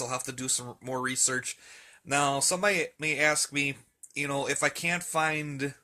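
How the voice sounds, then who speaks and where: A man's voice: medium pitch, sharp tone. A man chatting casually in a rambling, hesitant, folksy way as he shows off a hobby collection at home. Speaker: radio hobbyist vlogger. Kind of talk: casual rambling show-and-tell chat. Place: indoors at home, room with radio shelves.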